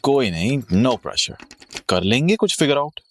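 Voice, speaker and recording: An actor playing a reassuring, easygoing husband in an audio horror drama, conversational with some English mixed in. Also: male voice, medium pitch, big pitch swings, slow, background noise. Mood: casual.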